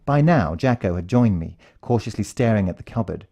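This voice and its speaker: A man's voice: on the low side, animated, fairly quick, gravelly, mellow. A British man narrating a first-person story in a dramatic, suspenseful way. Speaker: audiobook narrator.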